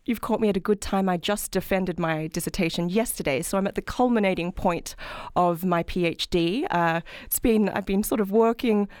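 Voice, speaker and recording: A woman's voice: medium pitch, moderate pace, darkish tone, no room sound, clean studio sound. A woman in her thirties with an Australian accent, explaining her research as an interview guest. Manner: articulate, explanatory, frequent "sort of". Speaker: guest, healthcare management researcher. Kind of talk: interview answers.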